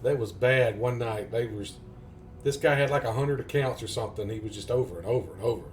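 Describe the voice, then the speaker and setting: A man's voice: medium pitch, brisk, a little hiss or hum. A man with a Tennessee Southern accent, chatting casually and jokingly with viewers during a game live stream. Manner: joking, rambling, chuckles often.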